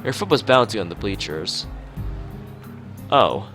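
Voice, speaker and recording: Curious young man, read by a male streamer, animated. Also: fairly low pitch, a little slow, full character voice. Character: polite, impressed, curious young man, story's protagonist. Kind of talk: visual novel dialogue read aloud. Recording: music behind the voice.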